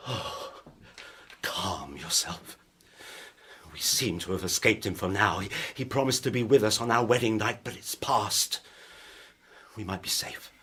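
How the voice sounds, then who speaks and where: An actor playing an anguished, guilt-ridden young husband and scientist in a live radio drama, halting and melodramatic. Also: male voice, on the low side, pushed and bright, slightly rough, full character voice. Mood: fearful, agitated. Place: live on a theatre stage. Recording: noisy live theatre stage recording.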